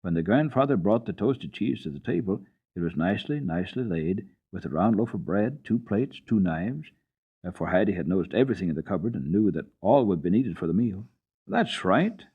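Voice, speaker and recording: A man's voice: low voice, lively intonation, fairly quick, slightly rough. A man giving a dramatic, folksy reading of a children's book as a radio storyteller, on a muffled archival recording. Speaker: storyteller, radio program host.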